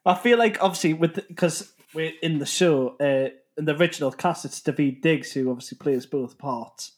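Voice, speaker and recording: Higher-voiced man, chatting. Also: moderate pace. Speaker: podcast guest. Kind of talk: casual chat.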